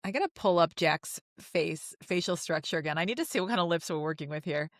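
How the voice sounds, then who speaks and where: A woman's voice: on the low side, lively intonation, fast talker, no echo, studio quality. A woman co-hosting a podcast in casual, joking banter. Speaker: podcast co-host.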